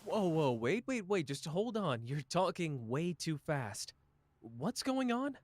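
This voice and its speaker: A man doing a slow, flirtatious charmer. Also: on the high side, big pitch swings, dry recording, heavily acted.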